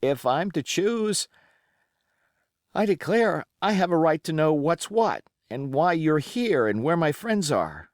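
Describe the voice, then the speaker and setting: Man putting on a high, plucky young boy. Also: big pitch swings, takes it slowly, no echo, studio quality. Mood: nervous defiance. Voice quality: tremulous, higher than the narrator. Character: frightened but plucky young boy, growing bolder. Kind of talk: audiobook character acting.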